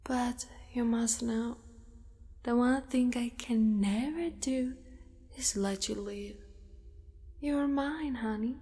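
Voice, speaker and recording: A woman playing a possessive, doting female spider monster in an ASMR roleplay, speaking softly and breathily, often in a whisper. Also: on the high side. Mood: possessive, sweet. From Italy. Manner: soft, breathy, often whispered, slow and coaxing. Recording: long cavernous reverb.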